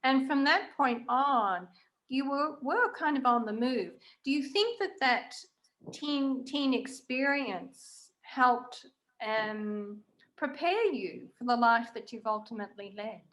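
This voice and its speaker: Woman host doing a chatty interview, slightly high voice, pauses mid sentence while she thinks. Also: a bit bright. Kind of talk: conversational interview.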